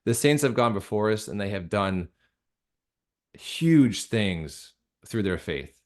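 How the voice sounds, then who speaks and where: Male interview guest, lower-pitched, sincere and halting, leaning on repetition for emphasis. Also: expressive. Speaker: interview guest, radio drama creator. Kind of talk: earnest conversational interview about faith.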